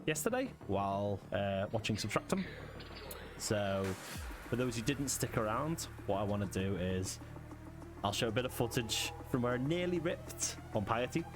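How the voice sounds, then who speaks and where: A man with a Liverpool accent, chatting casually on his game stream, thanking viewers and chuckling often. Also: mid-range voice. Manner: chatty, thanks chat, frequent chuckles and fillers. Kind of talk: relaxed live-stream chat. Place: home. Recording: clear background music. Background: music.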